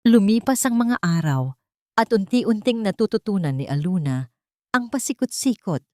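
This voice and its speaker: A woman's voice: mid-range voice, very animated, moderate pace, mellow, no echo, clean studio sound. A woman with a Filipino accent narrating a scripted romantic drama as a storyteller.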